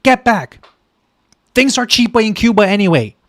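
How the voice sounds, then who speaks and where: Male stream host, high-pitched and impassioned, dry close-miked sound, reads chat names between rants. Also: very animated, normal speed, fairly bright tone, dry recording. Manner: impassioned, repetitive, thanks chat viewers by name. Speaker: political livestream host. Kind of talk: heated political rant on a livestream.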